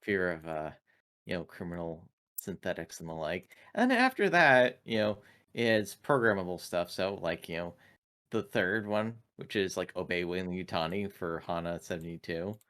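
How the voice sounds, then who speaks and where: This is a man with a deep, low-set voice. He talks casually as a player at a tabletop game. His explanations come haltingly, like unscripted chat. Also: expressive, fairly bright tone, clear, resonant tone.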